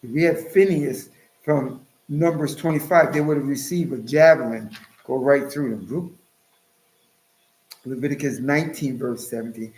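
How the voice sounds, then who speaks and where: A man's voice: mid-range voice, darkish tone. Emphatic preaching man, roomy echo. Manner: emphatic, lecturing, jumps up into mocking quoted voices. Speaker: Hebrew Israelite teacher. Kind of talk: preaching monologue with scripture reading.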